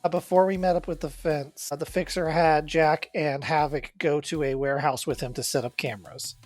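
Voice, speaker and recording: Fast-talking male player, eager. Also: a little high, fairly even intonation, a little mellow, dry recording, soft background music. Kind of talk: casual tabletop game chat.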